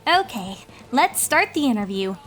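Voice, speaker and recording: A woman's voice: a little high, sing-song, normal speed, fairly bright tone, soft background music. A woman voicing an earnest, bookish young female pony scholar, inquisitive, prim and easily exasperated, in a cartoon fan fiction reading. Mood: businesslike.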